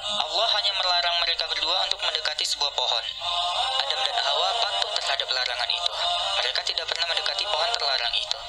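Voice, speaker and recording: A man's voice: a little high, fast talker. A man reading a scripted religious storybook narration, with music playing over his voice. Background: loud music.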